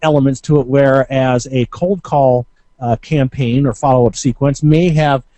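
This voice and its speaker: Mellow-voiced man giving informal coaching. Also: mid-range voice, fairly even intonation, no echo. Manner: halting, conversational, with frequent hesitations and self-corrections. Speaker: marketing coach and Q&A host. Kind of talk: informal instructional talk.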